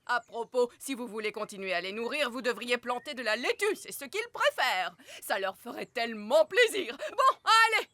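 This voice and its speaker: A woman's voice: a little high, animated, normal speed, hardly any pauses, pushed and bright, slight background noise. An actress voicing a cheerful, chatty woman who runs a snail riding club in a cartoon, in a gushing sing-song manner. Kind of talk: French cartoon dub.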